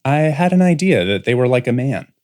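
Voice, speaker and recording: A man's voice: medium pitch, very animated, brisk, a little mellow, close to an everyday voice. A man acts out a grown son in a science fiction audiobook. He is restless and rebellious, pressing his case with earnest intensity, his intonation rising and falling widely. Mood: wistful. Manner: insistent, intense, pleading. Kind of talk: full-cast audiobook acting.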